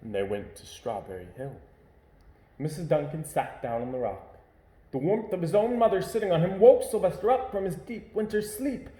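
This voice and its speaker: A man reads a children's picture book aloud at home, shaping each line expressively. His voice is mellow and dark-toned, and the room adds a noticeable echo. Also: on the high side, normal speed. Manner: expressive, varied reading. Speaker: storyteller. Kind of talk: children's storybook read-aloud.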